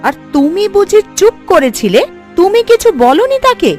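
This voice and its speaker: A woman voicing a supportive but sharp-tongued village wife and mother in a cartoon, talking in a homely, colloquial way. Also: extremely high pitch, very animated, normal speed, faint music behind. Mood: caring. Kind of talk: Bengali cartoon story dubbing.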